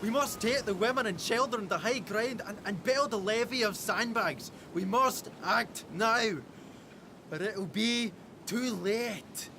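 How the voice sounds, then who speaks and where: An actor playing an earnest, decisive masked cowboy hero in a radio western. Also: male voice, high voice, unhurried, background noise. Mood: urgent, insistent. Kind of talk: old-time radio western acting.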